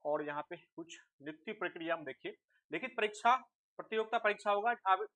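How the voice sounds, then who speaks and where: A man's voice: high-pitched, quick pace, pushed and bright. A man explains like a coaching teacher, asking questions and answering them himself, mixing in English terms. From India. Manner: asks rhetorical questions and answers them, checks understanding. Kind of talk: instructional explanation to students.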